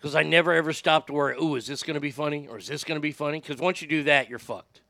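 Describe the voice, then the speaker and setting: A middle-aged radio host with a high, bright voice and a gravelly edge tells profane stories in a casual, animated way. The sound is close and dry, with no room around it. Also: male voice, fast talker, no echo. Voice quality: high voice without much bass. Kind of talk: casual storytelling podcast.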